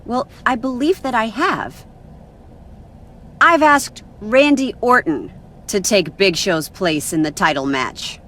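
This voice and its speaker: A stern, bright-voiced wrestling boss. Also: female voice, mid-range voice, lively intonation, takes it slowly. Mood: businesslike. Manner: curt, commanding. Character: stern, vindictive female wrestling show boss. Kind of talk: video game cutscene acting.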